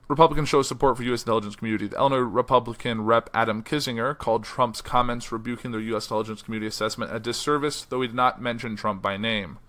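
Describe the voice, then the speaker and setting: Male podcast host, quick and bright, a bit hoarse, casual news reader. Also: medium pitch. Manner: reads quotes in a flat newsy voice, then chats loosely with fillers. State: losing his voice. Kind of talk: solo news podcast, reading articles aloud. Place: house.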